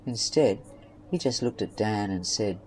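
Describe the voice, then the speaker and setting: A woman's voice: rumbling low, lively intonation, measured pace, darkish tone, slight background noise, low-quality recording. A woman reading scripted narration for an audio drama story.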